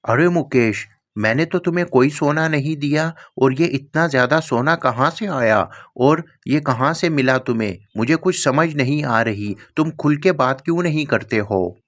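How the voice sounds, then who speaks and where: A man's voice: medium pitch, moderate pace, hardly any pauses, lightly acted. A man voicing a generous, hearty rich landlord in a cartoon story.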